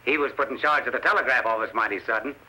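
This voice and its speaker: An actor playing a feisty, folksy town doctor of the old West, excitable in a vintage radio drama style. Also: male voice, medium pitch, rapid-fire, slight background noise, rough recording. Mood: uneasy. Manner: folksy, excitable western talk. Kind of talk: vintage radio western acting. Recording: old radio broadcast recording.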